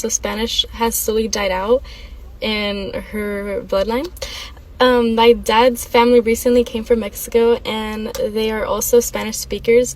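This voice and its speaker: Young woman, student testimony, hesitant with ums, a touch high-pitched. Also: a little mellow, slight background noise, low-quality recording. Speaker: student interviewee. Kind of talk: personal testimony.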